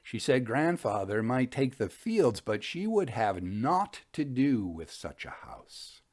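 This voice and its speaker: Man storyteller with lively, swooping pitch. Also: slow pace. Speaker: podcast host and storyteller. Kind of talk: reading an old ghost story aloud, with commentary.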